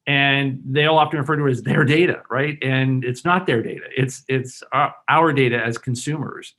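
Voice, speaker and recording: A man's voice: mid-range voice, fairly bright tone. Earnest man arguing a point on a panel. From Hong Kong. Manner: earnest, persuasive, many restarts and fillers. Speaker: panelist, bank executive. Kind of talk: webinar panel discussion.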